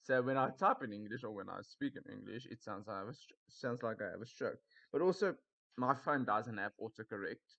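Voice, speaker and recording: A young man talks in rambling, casual commentary that breaks into loud disbelief. His voice is bright and forceful, and the sound comes through a muffled, lo-fi mic. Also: on the low side, animated, brisk, gravelly. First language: Afrikaans. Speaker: YouTuber reviewing Reddit posts. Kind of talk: casual commentary reading posts.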